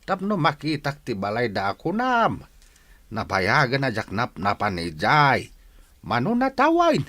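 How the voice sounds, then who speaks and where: A man's voice: medium pitch, huge pitch swings, unhurried, sharp tone, no room sound, heavily acted. An actor playing an elderly, chatty grandfather in a radio drama. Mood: talkative, curious.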